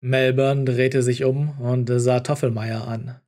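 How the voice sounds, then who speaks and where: Male narrator for fiction audiobook; dark, relaxed, mid-pitched read. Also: slow pace. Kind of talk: scripted story narration.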